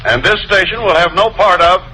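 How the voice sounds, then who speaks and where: A man's voice: high-pitched, brisk, sharp tone. A man playing an indignant broadcaster, declaiming accusations in an old radio drama. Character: indignant male radio broadcaster denouncing a colleague. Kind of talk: 1940s-50s radio drama acting. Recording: noisy old radio recording.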